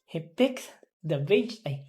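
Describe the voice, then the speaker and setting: Slow, low-voiced woman reading practice words. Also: expressive, noisy background. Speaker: English learner. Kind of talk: careful read-aloud of drilled words and sentences.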